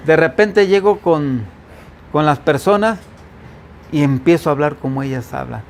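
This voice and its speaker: A man's voice: on the high side, moderate pace, gravelly, slight background noise. A man from the Veracruz coast preaching as pastor in an informal Bible-study sermon, with a faint Veracruz accent, often asking the congregation questions. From Veracruz coast, Mexico.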